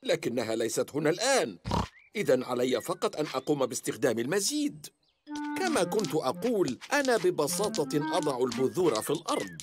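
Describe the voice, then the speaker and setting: Give the cartoon an elderly grandfather pig, jolly and fussy, with a lively, swinging delivery over music. He sounds warm-hearted and easily flustered. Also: a little high, a little fast. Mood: puzzled. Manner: cheerful, flustered yelps. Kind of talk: dubbed cartoon acting. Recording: music bed under voice.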